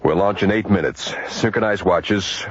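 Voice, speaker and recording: An actor playing a composed, seasoned space captain with informal military authority in a radio drama. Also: male voice, low-pitched, moderate pace, a little hiss or hum, close to an everyday voice. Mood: businesslike. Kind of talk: naturalistic sci-fi radio drama acting. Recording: dull vintage radio recording, loud music bed.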